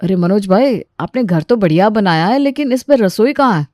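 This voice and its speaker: A woman voicing a polite, practical husband and son in an animated family story, plain-spoken and placating. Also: mid-range voice, moderate pace, no echo, clean studio sound, natural voice. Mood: questioning.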